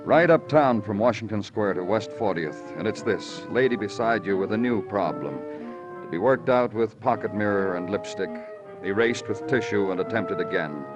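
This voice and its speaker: An American actor playing a weary, poetic New York police lieutenant in old radio crime drama, with terse, brooding delivery. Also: male voice, fairly low pitch, animated, normal speed, close to an everyday voice. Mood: brooding narration. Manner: terse questions, brooding literary narration. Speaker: lead actor and narrator. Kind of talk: 1940s-50s American radio crime drama acting and narration. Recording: vintage radio recording with music.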